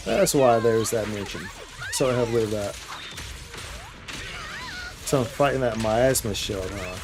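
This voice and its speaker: A man's voice: fairly low pitch, unhurried. A man gives casual gameplay commentary as a livestreamer, with game music playing behind him. His talk is slangy and loose, and he thinks aloud about the game as he goes.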